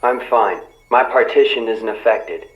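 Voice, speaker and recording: An actor playing a calm, serious young man, a loyal ally, in video game dialogue. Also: lowish voice, measured pace, lightly acted. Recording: dull, roomy, slightly muffled sound.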